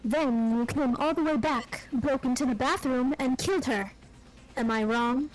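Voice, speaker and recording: A woman voicing a cool, composed teenage girl detective from a video game, measured and probing. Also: high voice, soft background music, close to an everyday voice, rough recording. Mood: cool, accusing. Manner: measured, interrogating. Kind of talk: fan voice acting of a video game.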